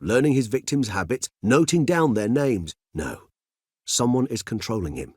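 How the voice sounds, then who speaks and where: An actor playing a courteous, erudite old male vampire in a video game, speaking in eloquent, formal, measured phrases with wry philosophy. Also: medium pitch, very animated, a little mellow, husky, no echo, studio quality. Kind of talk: video game character dialogue.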